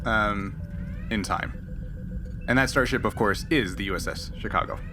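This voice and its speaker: A man's voice: on the low side, measured pace, music in the background. A man running a tabletop game as game master, narrating casually and improvising with some hesitation. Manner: hesitant, improvising, chuckling often. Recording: clear background noise.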